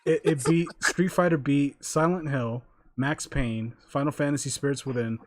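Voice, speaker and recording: A man hosting a casual group discussion, reading out details in a measured way. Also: medium pitch, fairly even intonation. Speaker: podcast host.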